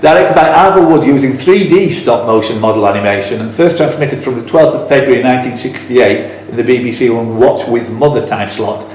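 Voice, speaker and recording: A man's voice: mid-range voice, brisk, few pauses, darkish tone. A man working as a quizmaster, reading out questions with blunt, teasing, informal banter. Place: echoey indoor club room. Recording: very echoey room, faint background noise.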